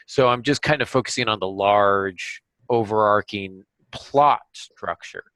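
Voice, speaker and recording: A man hosting a writing podcast, explaining things casually in a halting way and often correcting himself. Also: on the low side, fairly bright tone, no echo, poor audio. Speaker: podcast host and novelist. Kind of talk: casual craft discussion with examples.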